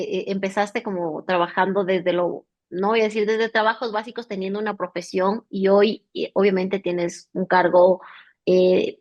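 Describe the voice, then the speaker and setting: A woman hosting an interview, asking long questions and encouraging her guest with frequent affirmations. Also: mid-range voice. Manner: warm prompting with frequent affirmations. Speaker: interview host. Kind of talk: casual interview conversation.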